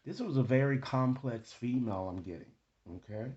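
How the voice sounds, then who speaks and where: A man hosting an improvised tarot reading, speaking to viewers in halting phrases and often checking in with short tag questions. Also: mid-range voice, darkish tone, muffled recording. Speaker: tarot card reader, online host.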